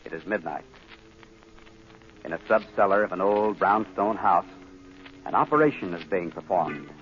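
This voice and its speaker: A man's voice: deep voice, animated, moderate pace. A man reading dramatic scripted narration in 1940s radio style. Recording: dull, noisy 1940s radio recording.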